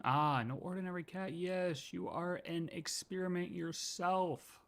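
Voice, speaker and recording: A man's voice: sits high, fairly even intonation, a little slow, gravelly, a bit bright, slight background noise. A man giving casual live commentary on a game playthrough, musing and thinking aloud. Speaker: game streamer. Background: faint game music.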